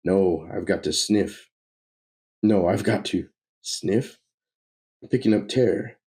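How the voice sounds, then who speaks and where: A man's voice: sits low, takes it slowly, darkish tone. Man acting out a gloating, manic comic book villain. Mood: hungry gloating. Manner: gloating, theatrical. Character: manic sadistic male villain who feeds on fear. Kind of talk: comic book read-aloud.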